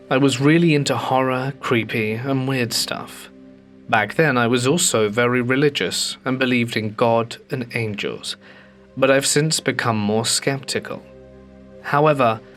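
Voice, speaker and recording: Calm man reading ghost stories. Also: mid-range voice. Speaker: host of a ghost story channel.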